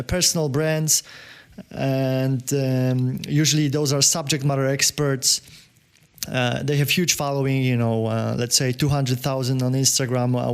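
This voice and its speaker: Halting man. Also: mid-range voice. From Poland. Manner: halting, many fillers and restarts. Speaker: podcast guest, business owner. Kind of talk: conversational interview answers.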